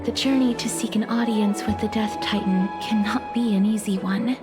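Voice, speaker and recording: A woman voicing a gentle, melancholy young woman in a video game, with soft, breathy, solemn and formal delivery. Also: mid-range voice, fairly even intonation, lightly acted. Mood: gentle, earnest. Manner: measured, solemn, formal phrasing. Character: gentle, melancholy young woman resigned to fate, touched by death. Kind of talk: English video game voice acting, dramatic monologue and dialogue. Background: game music.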